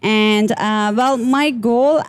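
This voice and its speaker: A woman's voice: a little high, drawn-out words, clear, resonant tone, no room sound. A woman from Iran with an Iranian accent, a pediatric dentist chatting easily as a podcast guest, with fillers and small laughs. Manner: relaxed, chatty, many fillers and little laughs. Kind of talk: casual podcast interview.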